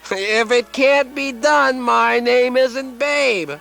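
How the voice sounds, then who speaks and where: An actor playing an excitable, brash, hammy Hollywood agent in a satirical radio comedy. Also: male voice, very high voice, takes it slowly, vocal fry, faint music behind, heavily acted. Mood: frantic, bossy. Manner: brash, hammy, repeats words. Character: excitable, fast-talking Hollywood super agent.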